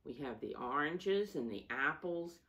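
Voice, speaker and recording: A woman with a low voice reads a children's story at a slow, deliberate pace, a little away from the microphone. She sounds like a teacher at storytime, stressing key words and explaining things simply to young listeners. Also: lively intonation, a bit bright. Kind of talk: read-aloud storytime with simple asides to children. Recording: voice distant from the microphone.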